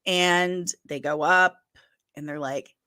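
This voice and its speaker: A woman's voice: fairly low pitch, fairly even intonation, takes it slowly, sharp tone, no room sound, clean studio sound. A middle-aged woman with a nasal voice, hosting a casual pop culture news livestream, chatty and emphatic. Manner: chatty, emphatic, with asides and laughs.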